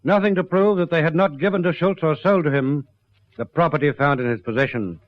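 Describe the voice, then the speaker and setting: An actor as the narrator of a radio crime drama, speaking in a grave, rhetorical scripted style. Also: male voice, fairly high pitch, fairly quick, dry recording, close to an everyday voice. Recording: dry, dull lo-fi radio recording.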